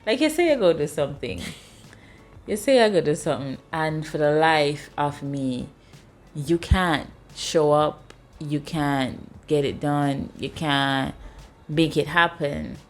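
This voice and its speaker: A woman's voice: unhurried, soft background music. A woman in her thirties with a Jamaican accent, hosting a casual chat and telling stories with acted-out dialogue. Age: 30s. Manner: animated storyteller, acts out dialogue in high voices. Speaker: host.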